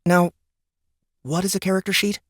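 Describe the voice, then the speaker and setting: A woman's voice: on the low side, fairly even intonation, normal speed, no room sound, studio quality, natural voice. A woman playing a strict, plain-spoken mother in a fantasy audiobook, reproving and easily annoyed. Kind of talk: audiobook character acting.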